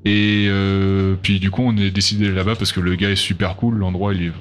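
A calm, friendly man describes where his band recorded. His voice is very low. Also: normal speed. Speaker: band member. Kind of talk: casual interview answers.

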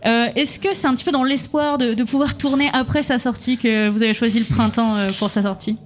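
A woman's voice: a little high, fairly even intonation, a little fast, slight background noise. A woman interviewing a band on the radio, chatty and hesitant, full of fillers.

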